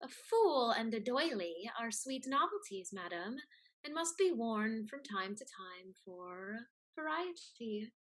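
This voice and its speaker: A woman's voice: a little high, very animated, slow, exaggerated voice, rough recording. A woman playing a scheming, catty society lady in a Restoration comedy, with an arch, pointed, mannered delivery. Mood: arch, worldly. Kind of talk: theatrical Restoration comedy acting.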